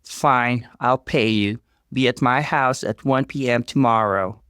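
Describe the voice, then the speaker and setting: A man voicing an overwhelmed mother, a stiff and contrite sister, in an earnest, measured way, for a story. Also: medium pitch, fairly bright tone, smooth, no echo, clean studio sound, pitched above the performer's own voice, close to an everyday voice. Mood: stiff, grudging.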